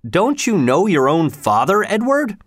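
Brief: a man doing a fatherly old king, slow and soothing, for a storybook. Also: high voice, sing-song, no room sound, clean studio sound, pitched above the performer's own voice, full character voice. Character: stern old king, gentle with his son.

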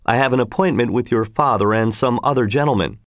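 A man's voice: medium pitch, a little fast, no room sound, clean studio sound, close to an everyday voice. A man reading a story voices a bold, easygoing hunter and father who speaks casually and self-assuredly.

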